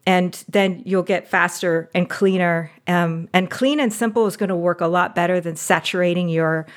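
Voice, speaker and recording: A woman guest on a podcast interview, talking in a casual, animated way and laughing often as she gives advice. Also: fairly low pitch, moderate pace. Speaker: podcast guest, audio drama producer.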